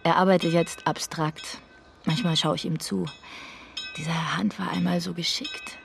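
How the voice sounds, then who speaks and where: An actress playing a wistful, guarded woman in a radio crime drama, speaking softly and hesitantly. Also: on the low side, fairly even intonation, measured pace, gravelly, noisy background. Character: wistful, guarded young mademoiselle devoted to a lost lover.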